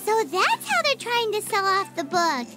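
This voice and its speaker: A tiny floating girl companion from an anime game. The voice is squeaky and extremely high, with hugely swooping pitch. It is chirpy, curious and excitable, over prominent music. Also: moderate pace, heavily acted. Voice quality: squeaky, childlike. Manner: refers to self in third person, excitable. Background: background music.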